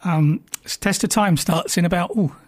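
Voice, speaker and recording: A man presenting on radio with a croaky, hoarse voice, casual, affable and slightly halting. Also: sits high. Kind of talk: casual on-air programme preview.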